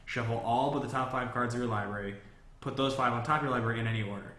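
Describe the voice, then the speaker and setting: A man's voice: medium pitch, steady tone, very fast, fairly bright tone. A man talking casually as the host of a panel chat show about games. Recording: echoey room, faint background noise.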